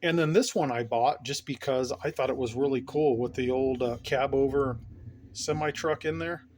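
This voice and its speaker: A man's voice: medium pitch, moderate pace, a little mellow. Middle-aged man, rambling and casual. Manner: rambling, informal enthusiast. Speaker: hobbyist vlogger showing his radio gear. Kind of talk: casual show-and-tell vlog.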